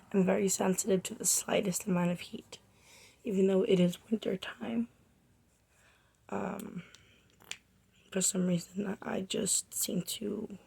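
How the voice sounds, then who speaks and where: Young female beauty vlogger, sick and hoarse; heavy fry, very husky, rambling chatty delivery. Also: medium pitch, darkish tone. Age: 20s. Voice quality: raspy. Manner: rambling, chatty, addresses viewers as guys. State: hoarse from a cold. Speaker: makeup artist and beauty vlogger. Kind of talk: casual chatty makeup tutorial. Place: home, under a makeup light.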